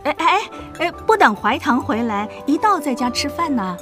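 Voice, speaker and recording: An actress playing a warm, fussing innkeeper in a Mandarin audio drama, chatty and full of exclamations. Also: female voice, high-pitched, big pitch swings, normal speed, over music. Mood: hospitable. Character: warm, fussing married woman keeping an inn.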